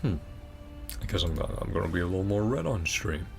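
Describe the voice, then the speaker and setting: A man's voice: low voice, animated, quick pace, vocal fry, soft background music. A man chatting casually on a gaming stream, in an off-the-cuff conversational way. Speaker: streamer reading game dialogue aloud.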